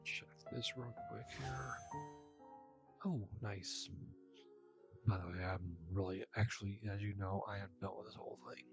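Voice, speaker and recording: A man's voice: fairly low pitch, steady tone, a little slow, creaky, pauses often. A man casually commentating on his gameplay and reading dialogue aloud as a game streamer. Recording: game music behind the voice.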